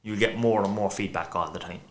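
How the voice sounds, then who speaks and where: A man's voice: fairly low pitch, fairly even intonation, a little fast. A man with a thick Cork accent, a podcast guest chatting casually and rambling at length. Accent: Cork Irish. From Ireland. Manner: chatty, rambling, acts out quoted voices. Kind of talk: casual chat.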